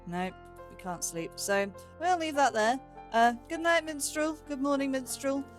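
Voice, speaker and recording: A woman's voice: sits high, a little slow, faint music behind. A woman giving casual let's play commentary, musing aloud and questioning herself as she goes. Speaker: host of a gaming let's play series.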